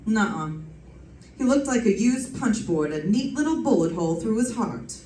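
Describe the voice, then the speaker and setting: A woman playing a wry, quick-witted female private detective in an old-time radio drama, with a sardonic, snappy delivery. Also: mid-range voice, lively intonation, dark tone, slight background noise, natural voice. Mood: businesslike, wry. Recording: roomy, distant stage pickup.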